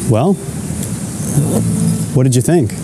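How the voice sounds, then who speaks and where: A man's voice: medium pitch, lively intonation, takes it slowly, heavy background noise. A man giving a casual, easygoing walking tour, chatting and thinking aloud as he goes. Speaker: vlogger. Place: outdoors and indoors at a large resort.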